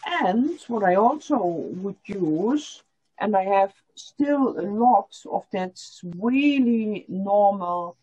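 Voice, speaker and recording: Slow, husky-voiced woman teaching online. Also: mid-range voice, expressive, warm tone. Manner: explains step by step, repeating lines in German. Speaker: felting teacher. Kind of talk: online workshop demonstration. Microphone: video call. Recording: slightly dull video call audio.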